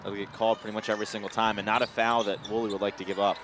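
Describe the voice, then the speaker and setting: A man's voice: lowish voice, little pitch movement, racing. A man giving conversational live sports analysis as a color commentator. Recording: noisy gym ambience, bouncing balls. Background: basketball game noise.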